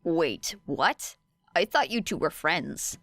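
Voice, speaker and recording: Low, deadpan teenage boy, voiced by a woman. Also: slow, dry recording, full character voice. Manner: deadpan, grumbling. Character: grumpy, deadpan, sardonic teenage boy student. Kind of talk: audiobook character dialogue.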